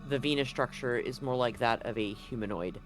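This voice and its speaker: A man narrating a tabletop roleplaying game as game master, casually and somewhat haltingly, feeling for his words. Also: medium pitch, a bit bright, soft background music, poor audio.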